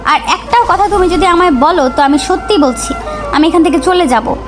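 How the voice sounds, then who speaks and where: A woman's voice: very high voice, lively intonation, brisk, over music. A woman voicing a troubled, irritable young wife, curt and exasperated, in a romantic audio story. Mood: angry.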